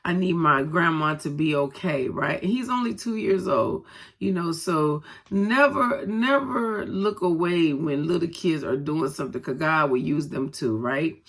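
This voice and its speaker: A woman's voice: sits low, expressive, a little slow. A grandmother and co-pastor with a stuffy nose from a head cold, chatting casually to her viewers with many "um"s. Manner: conversational, many "um"s, talks straight to viewers. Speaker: vlogger and co-pastor. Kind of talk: casual personal vlog talk.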